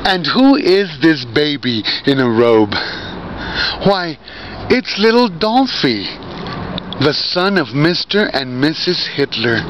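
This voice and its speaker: A middle-aged man giving a dramatic poetry reading in deliberate, theatrical, separated phrases, recorded outdoors on a handheld camera close to his face. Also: fairly high pitch. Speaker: poet and performance artist. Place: outdoors by bare trees. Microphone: camera held very close at arm's length. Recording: dull lo-fi outdoor recording, loud ambient noise.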